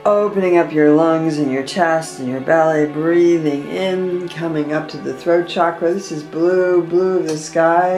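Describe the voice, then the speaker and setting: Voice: mid-range voice, darkish tone, poor audio. A meditation guide leading a chakra session, drawing out the breathing cues. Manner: slow, drawn-out breathing cues.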